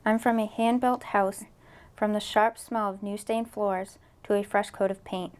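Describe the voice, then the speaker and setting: A woman's voice: mid-range voice, fairly even intonation, unhurried, a little mellow, smooth. A young woman college student reflecting candidly on her path to college and reading a personal poem.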